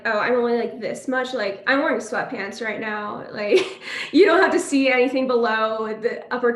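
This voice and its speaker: A young woman chatting informally on a video call as a student actor, with plenty of filler "like". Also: fairly high pitch. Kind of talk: casual chat. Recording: roomy, echoing video-call audio.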